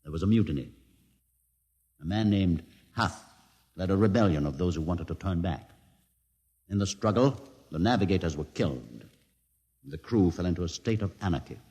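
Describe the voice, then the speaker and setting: A solemn mutant leader, an outcast with a twisted leg, in a vintage radio play. His voice is very deep and slow, and he tells his tale with grave weight. The old broadcast has a long, ringing reverb. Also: animated, warm tone. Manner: slow, grave, dramatic storytelling. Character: aged mutant leader, lame, solemn and wise. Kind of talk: 1950s radio drama acting.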